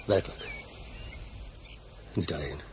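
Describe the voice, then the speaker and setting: An actor playing a proud, weary French emperor after his defeat, speaking in a measured, brooding way with flashes of bitterness. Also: a bass voice, slight background noise. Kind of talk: radio play acting.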